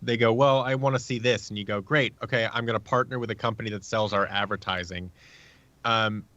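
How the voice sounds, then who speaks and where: A man's voice: on the low side, steady tone, fairly quick, sharp tone. A man speaking casually as an interview guest, an audio drama producer explaining his work. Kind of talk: casual, animated interview talk, acting out quoted lines. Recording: slightly dull, roomy sound.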